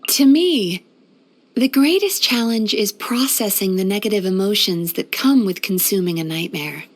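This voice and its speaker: A woman playing a gentle, composed yokai therapist in a video game, soft-spoken and measured. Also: mid-range voice, expressive, natural voice. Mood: composed, earnest. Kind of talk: video game character voice lines.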